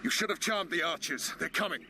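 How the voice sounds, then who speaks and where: An actor playing a gruff, brash military commander in a video game, coarse and urgent in his orders. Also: a little high, brisk, pushed and bright, slight background noise.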